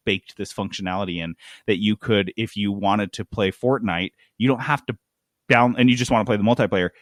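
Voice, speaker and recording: A man's voice: lowish voice, no room sound. Casual male gaming podcast host, dry close sound. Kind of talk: casual gaming news discussion.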